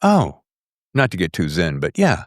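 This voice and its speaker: A low-pitched man hosts a podcast interview in casual, emphatic talk. His intonation swings widely as he builds his questions, and the recording is close and dry. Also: measured pace, dry recording. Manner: expressive, emphatic, acts out imagined quotes.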